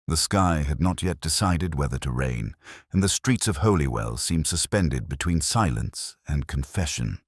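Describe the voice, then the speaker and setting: A man reading a scripted first-person mystery story in a measured, solemn, literary manner. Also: a bass voice, no room sound, clean studio sound. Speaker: narrator.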